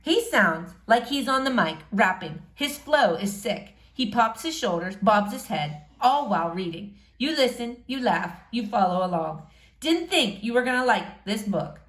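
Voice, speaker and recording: A woman's voice: on the high side, lively intonation, a little slow, fairly bright tone. A woman narrating a novel aloud expressively, like a teacher reading to pupils. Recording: roomy, echoing room sound.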